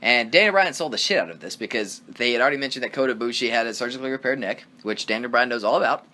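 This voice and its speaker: A man co-hosting a casual wrestling review podcast, talking with excitement, rambling and swearing freely. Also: mid-range voice, very fast. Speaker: podcast co-host.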